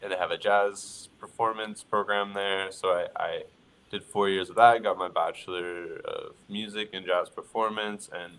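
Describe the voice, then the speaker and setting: A young man from Newfoundland, a bassist guest, talking in an easygoing, halting way. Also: steady tone, a bit bright, clean tone, low-quality recording. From Newfoundland, Canada. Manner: easygoing, halting, many fillers. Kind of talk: casual interview chat.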